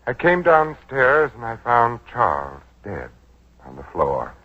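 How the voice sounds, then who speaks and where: A man's voice: mid-range voice, wildly swooping pitch, slow, full character voice. A man playing a formal, pompous, treacherous employer who feigns reluctance in a 1940s radio drama. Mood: measured, grave. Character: smooth-talking, falsely reluctant, treacherous well-off employer villain. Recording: dull vintage 1940s radio recording.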